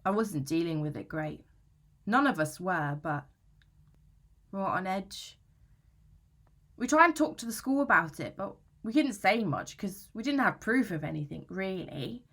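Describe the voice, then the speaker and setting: A woman's voice: medium pitch, lively intonation, measured pace, pauses often, fairly bright tone. Actress as a young british student girl, anxious n defensive, chatty slangy confessional monologue about her housemate. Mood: exasperated. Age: young adult. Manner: chatty, slangy, self-justifying. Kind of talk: dramatic confessional monologue, conversational storytelling.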